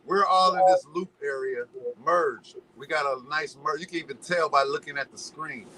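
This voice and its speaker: Animated slangy man telling stories. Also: fairly high pitch, normal speed, a little hiss or hum, poor audio. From Los Angeles. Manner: animated storyteller, slangy, preachy musings. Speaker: podcast guest. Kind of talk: casual chat.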